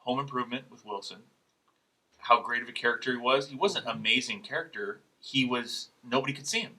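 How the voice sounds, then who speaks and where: A man's voice: mid-range voice, a little fast, pushed and bright, low-quality recording. A man co-hosting a podcast, chatting casually and insistently, often repeating himself. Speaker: podcast co-host. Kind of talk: casual animated chat.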